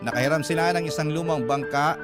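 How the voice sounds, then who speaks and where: A man's voice: a little high, fast. Man narrating over swelling music. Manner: reflective, confessional. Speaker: radio drama narrator reading a listener's letter. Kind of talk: scripted dramatic narration. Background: loud music.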